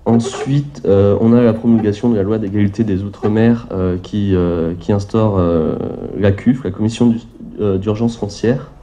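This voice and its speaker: A man giving a formal conference presentation with slides as a public agency representative, speaking haltingly with frequent hesitations and repeated words. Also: lowish voice, steady tone, mellow, a little hiss or hum.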